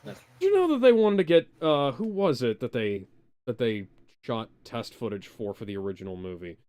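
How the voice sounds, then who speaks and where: A man's voice: mid-range voice, sing-song, moderate pace, warm tone, clear, resonant tone. A man chatting casually as a show host, rambling through commentary in a watch-along.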